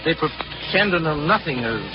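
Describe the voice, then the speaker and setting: An actor playing an obsessed, paranoid middle-aged scientist with a theatrical, grandiose delivery in a 1940s radio drama. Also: male voice, a little high, animated, moderate pace, lightly acted. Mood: grandiose, brooding. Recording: dull 1940s radio recording with loud music.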